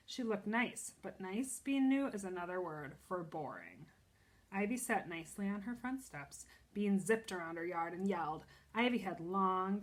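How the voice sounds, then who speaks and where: A woman reads a children's book aloud in a teacher's style. Her mid-range voice is expressive, and she steps out of the story to explain and question. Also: medium pitch. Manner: expressive, pauses to explain pictures and ask questions.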